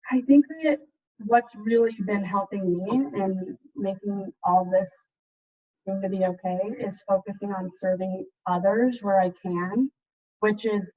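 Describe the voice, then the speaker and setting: A woman teacher reading a storybook aloud to students in an animated, chatty way, with casual asides. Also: medium pitch, highs cut off. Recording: distant mic, thin band-limited sound.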